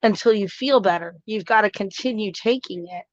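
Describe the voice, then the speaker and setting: A woman's voice: mid-range voice, normal speed, no room sound, highs cut off. A woman co-hosting a casual live stream, upbeat and chatty, often saying "you know". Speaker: co-host.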